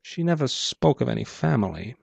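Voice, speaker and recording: A man's voice: mid-range voice, big pitch swings, a little fast, creaky, darkish tone, slightly rough, dry recording, heavily acted. A man voicing a grim, terse clockwork crime boss with a brass jaw in a noir story reading. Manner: terse, grim, clipped replies.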